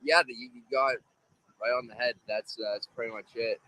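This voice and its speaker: Bright-voiced teenage boy. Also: mid-range voice, fairly even intonation, fairly quick, rough recording. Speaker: teenage interviewee. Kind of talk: casual street interview answers.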